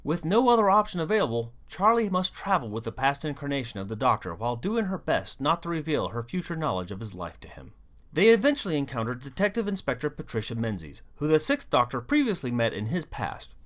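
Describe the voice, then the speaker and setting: A video host delivering scripted fan commentary in an animated, storytelling way with wry asides. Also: medium pitch. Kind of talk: scripted fan commentary on an audio drama series.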